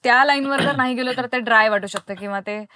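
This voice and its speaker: Woman, fairly high voice, attentive podcast interviewer, conversational, summing up and prompting, dry close sound. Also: fast, bright, forceful tone, no room sound. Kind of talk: conversational interview with English words mixed in.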